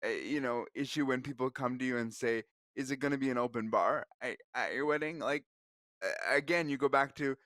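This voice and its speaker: A man gives opinions in a casual radio chat. His voice is mid-pitched and captured dry, with no room sound. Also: fairly quick, a bit bright, no echo.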